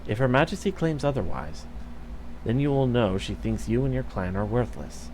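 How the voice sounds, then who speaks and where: A man voicing a scheming courtier who speaks in a measured, insinuating way. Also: mid-range voice, expressive, darkish tone, a little hiss or hum, natural voice, rough recording. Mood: sly.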